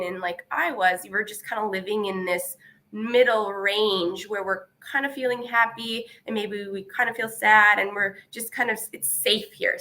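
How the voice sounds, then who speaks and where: A woman speaking on a webinar panel, reflective and searching, pausing often with fillers. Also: medium pitch, bright, forceful tone. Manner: thoughtful, searching, many pauses and fillers.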